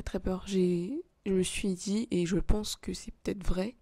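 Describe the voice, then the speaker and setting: A young woman speaking in an emotional, halting way, with frequent restarts and trailing phrases. Her pitch sits mid-range, and the sound is dry and close with no room echo. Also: mellow. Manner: emotional, hesitant, many restarts. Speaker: vlogger. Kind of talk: confessional vlog. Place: small apartment, then a café.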